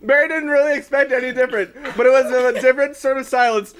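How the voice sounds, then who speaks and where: A very high-voiced man reads a story aloud on a livestream with his mouth on fire from hot sauce. He slips between narration and exclaimed banter. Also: steady tone, fast talker, a bit bright. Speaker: streamer reading fan fiction aloud. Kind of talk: fan fiction reading mixed with casual banter. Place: group livestream with others reacting.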